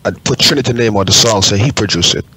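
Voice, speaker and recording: Very fast-talking man. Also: fairly low pitch, pushed and bright, breathy edge, dry recording, low-quality recording. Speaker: panelist. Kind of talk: casual radio panel chat.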